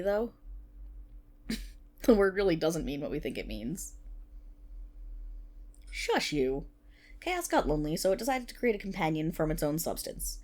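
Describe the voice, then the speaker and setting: A woman's voice: on the low side, a little mellow. A woman playing a nerdy, playful best friend in an ASMR roleplay, rambling casually to the listener with wry asides and self-interruptions.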